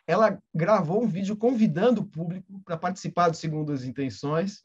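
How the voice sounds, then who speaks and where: A man's voice: high-pitched, fairly quick, breathy edge. A man hosting a casual interview at a library event, chatty, with many restarts. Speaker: host. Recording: muffled lo-fi audio.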